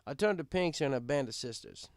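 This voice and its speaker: A man's voice: medium pitch, animated, fairly quick, clean tone, no echo, studio quality. A man reading a first-person story as scripted narration.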